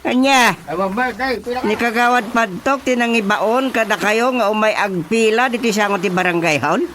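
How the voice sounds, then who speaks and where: A man's voice: sits high, normal speed, fairly bright tone, slight background noise. A man playing an exasperated, comic village chairman with an Ilocano accent in a radio comedy drama, exclaiming and muttering. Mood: incredulous, agitated.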